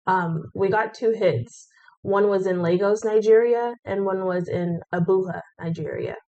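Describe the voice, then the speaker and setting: A woman host reporting findings in a calm, informative way. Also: mid-range voice, a little slow, dull audio.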